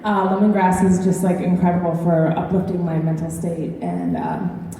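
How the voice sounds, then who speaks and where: A woman speaks casually on a panel in a fairly low, mellow voice, peppering her recommendations with "like". She is heard from a distance in a reverberant hall. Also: level tone, moderate pace. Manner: chatty, frequent "like". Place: large echoing event hall. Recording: echoey hall, distant mic, faint noise.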